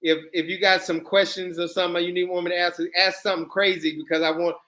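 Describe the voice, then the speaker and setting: A man's voice: sits high, little pitch movement, quick pace, pushed and bright. A man in his thirties hosting a livestream, chatting loosely and joking with guests. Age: 30s. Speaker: channel host. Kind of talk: casual livestream chat.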